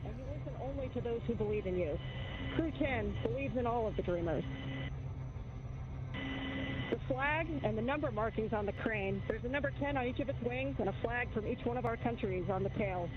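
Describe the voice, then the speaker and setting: A woman astronaut delivering a measured, earnest prepared address over a radio link from inside a spacecraft. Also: fairly high pitch. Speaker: astronaut, spacecraft crew member. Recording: muffled, noisy spacecraft radio downlink.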